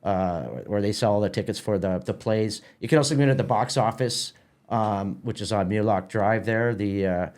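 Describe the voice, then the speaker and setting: A man's voice: on the low side, moderate pace, darkish tone. A middle-aged man with a Canadian accent, giving a casual interview as a theatre company president, often repeating words as he explains.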